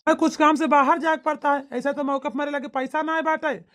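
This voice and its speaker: A woman's voice: high voice, steady tone, brisk, fairly bright tone. A woman voicing plain-spoken villagers in a family comedy cartoon, with an emphatic, declamatory Awadhi-accented delivery. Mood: pleading. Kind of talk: exaggerated cartoon comedy dialogue.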